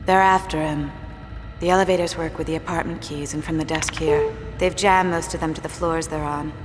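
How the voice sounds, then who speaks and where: A woman's voice: deep voice, fairly even intonation, brisk, faint music behind, natural voice. An actress playing a cool, secretive, self-assured woman on the run in a noir video game, speaking in terse, matter-of-fact lines. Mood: urgent, businesslike. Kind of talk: scripted game dialogue. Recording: faint background noise, ambience.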